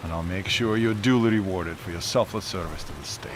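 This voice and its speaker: A man acts out a ruthless Soviet major in a game cutscene. His low voice gives orders and threats with cool, smooth authority. Engine rumble and ambience sit clearly behind him. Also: fairly quick, lightly acted. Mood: commanding, menacing. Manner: commanding, coolly threatening. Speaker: military officer villain. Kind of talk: video game cutscene acting. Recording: heavy vehicle and ambience noise behind.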